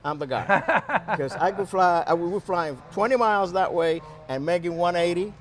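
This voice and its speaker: A man's voice: sits high, normal speed, slight background noise. An older man with a mixed Cuban and New Jersey accent, chatting casually as an interview guest, hesitant but good-humored. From Cuba, later New Jersey, USA. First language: Spanish. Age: 60s or older. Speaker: interview guest, flight instructor. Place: aviation museum at an air show.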